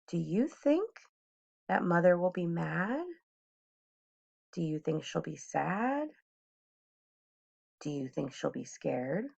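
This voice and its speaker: A woman's voice: lowish voice, very animated, slow, creaky, long pauses, a little mellow, husky. A woman teacher reading a storybook aloud expressively and addressing young listeners directly with questions. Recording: dull lo-fi sound.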